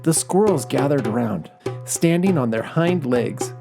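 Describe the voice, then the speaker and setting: A man narrating a children's folktale and asking discussion questions, reading gently and expressively. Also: mid-range voice, animated, measured pace, vocal fry. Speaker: storyteller. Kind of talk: scripted children's story narration. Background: music.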